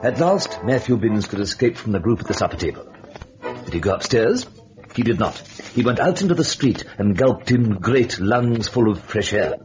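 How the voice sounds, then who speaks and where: A dull old radio recording with music behind a male narrator. His voice sits a little low. He tells a suspense story with dramatic, theatrical phrasing. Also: very animated, moderate pace, a little mellow. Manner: theatrical, rhetorical.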